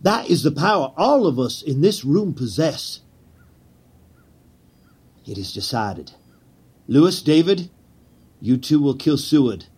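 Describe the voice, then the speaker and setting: An actor playing a proud, nervous ringleader of assassins, speaking in a theatrical, formal manner in a period drama. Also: male voice, on the high side, sing-song, takes it slowly, pauses often, darkish tone, slightly rough, exaggerated voice. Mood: grave, rousing. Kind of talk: historical audio drama acting.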